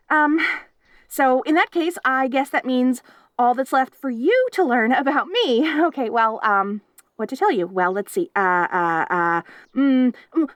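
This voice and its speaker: A woman's voice: high-pitched, a bit bright, dry recording, clean studio sound. A woman playing a giggly obsessive wolf girl. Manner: giggly, stammering, rambling and eager. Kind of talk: audio roleplay.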